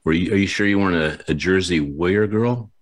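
A man's voice: deep voice, a little fast. A man hosting an interview show, upbeat and enthusiastic, often repeating words as he talks. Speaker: podcast host. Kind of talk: casual interview chat.

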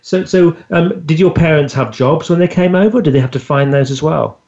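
A man's voice: fairly high pitch, brisk, vocal fry, a little mellow. A man hosting an interview podcast, chatting casually with plenty of fillers and restarts. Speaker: podcast host. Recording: dull lo-fi audio.

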